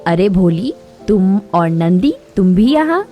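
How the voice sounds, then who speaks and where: A woman's voice: medium pitch, very animated, slow, mellow. A woman voicing a kind, helpful young female bird with a concerned, caring manner in a children's cartoon story. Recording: music behind the voice.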